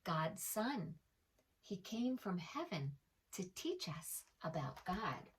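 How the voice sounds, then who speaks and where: A woman playing a gentle young mother in a storybook, speaking softly and soothingly. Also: fairly low pitch, big pitch swings, takes it slowly, slight background noise. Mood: tender, calm. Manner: soft, soothing.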